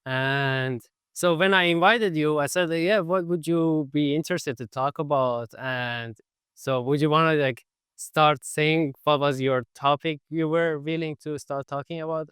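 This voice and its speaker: A young man with as his hosts a casual interview, his slightly high voice smooth and clear. He talks loosely with frequent "like" fillers. The recording is dry and close. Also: steady tone, measured pace, fairly bright tone, no room sound. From Iran. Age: 20s. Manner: chatty, non-native phrasing, frequent "like" fillers. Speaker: podcast host.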